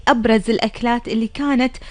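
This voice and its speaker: A woman's voice: fairly high pitch, steady tone, racing, no room sound. A woman with a Gulf Arabic accent, hosting a radio programme in a conversational style. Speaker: radio show host.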